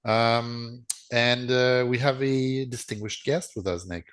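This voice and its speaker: A man's voice: medium pitch, steady tone, takes it slowly, a bit bright, clear, resonant tone. A man hosting a podcast in casual chat, welcoming listeners and prompting the guest. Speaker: podcast host.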